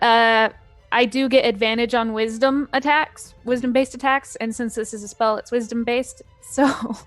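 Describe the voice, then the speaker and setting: A woman playing a tabletop role-playing game, chatting casually about her moves. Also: on the high side.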